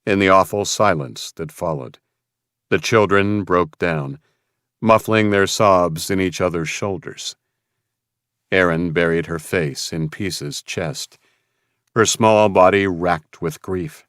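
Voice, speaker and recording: A man with a low voice reads dramatic fiction as an audiobook narrator. The sound is very dry, with no room around the scripted narration. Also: takes it slowly, a bit bright, no echo.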